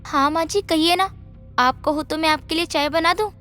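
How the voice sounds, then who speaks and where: A woman's voice: very high voice, moderate pace, fairly bright tone, soft background music. A woman voicing a meek, devoted young daughter-in-law in a moral story, speaking politely and deferentially. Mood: eager to please. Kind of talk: Hindi moral story voice acting.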